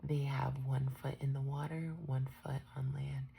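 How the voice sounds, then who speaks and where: A woman with a stuffed-up cold, doing a tarot reading in a soft, often half-whispered, musing way. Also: very deep voice, little pitch movement, moderate pace, gravelly. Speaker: tarot reader. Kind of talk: unscripted tarot reading for viewers.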